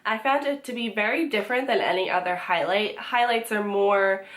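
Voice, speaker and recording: A young woman, slightly hoarse from a cold, chatting casually to camera as she reviews her monthly beauty favorites, with frequent ums and restarts. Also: medium pitch, normal speed, a bit bright. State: hoarse from being sick. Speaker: beauty vlogger.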